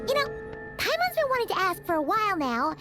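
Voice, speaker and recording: A woman's voice: very high-pitched, big pitch swings, fairly quick, over loud music. A woman voicing a small, cute, childlike fairy companion in a video game, chirpy and curious. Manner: exclaims, refers to herself in third person. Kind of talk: video game character dialogue.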